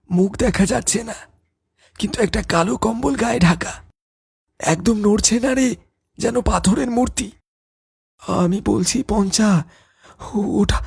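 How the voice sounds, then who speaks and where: A man's voice: high voice, measured pace, gravelly, pauses often, darkish tone, slightly rough, no echo, studio quality, heavily acted. A man voicing a frightened, superstitious young village man in a cartoon, stammering when scared. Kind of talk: cartoon voice acting.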